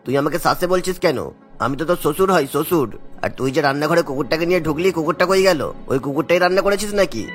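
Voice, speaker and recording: A fast, slightly high male voice for a cartoon father-in-law of middle age, played by a man. He is suspicious and irritable, forever scolding with indignation. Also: soft background music, close to an everyday voice. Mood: indignant. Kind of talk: cartoon dubbing.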